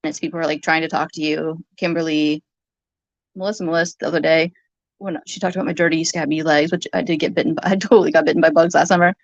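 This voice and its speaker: A woman's voice: deep voice, fairly even intonation, fast talker, creaky, no echo. A woman in a heated, informal argument, talking in an accusatory, rambling way and sometimes swearing. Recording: muffled lo-fi audio.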